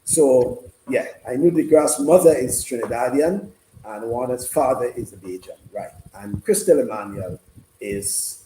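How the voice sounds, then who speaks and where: A man hosting a casual live sports discussion, speaking haltingly and repeating himself. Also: medium pitch, dark tone. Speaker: sports radio host. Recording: roomy, noisy room sound.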